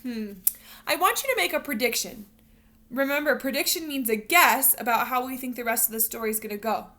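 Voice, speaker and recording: A woman teacher reading a picture book aloud to young children, expressive and instructional, pausing to put questions to them. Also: on the high side. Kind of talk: animated read-aloud storytelling with questions to young learners.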